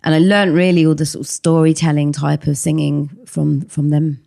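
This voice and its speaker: A woman's voice: low voice, little pitch movement, moderate pace, dark tone, no room sound, clean studio sound. A middle-aged woman, chatting as a podcast guest, hesitant with many ums. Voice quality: husky voice. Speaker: podcast guest, actress and singer. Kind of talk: casual interview chat.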